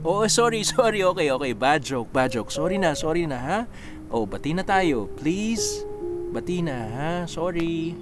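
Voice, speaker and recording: A brave, teasing young man, voiced by an actor in a radio drama. He talks slowly with big swings in pitch, casual and coaxing, over background music. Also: big pitch swings. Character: brave, teasing young male student, playful rescuer. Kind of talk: Filipino radio drama acting.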